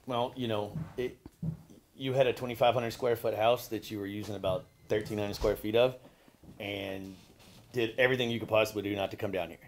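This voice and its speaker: A contractor with a fairly low voice explains the renovation work in an easygoing, halting way, often repeating himself and letting out a chuckle now and then. He sounds like he is in his forties. The camera catches him from a few steps away inside the open-stud basement. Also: a little hiss or hum. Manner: relaxed, hesitant, repeats words, chuckles. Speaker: building contractor. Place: gutted basement under renovation, indoors. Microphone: far camera.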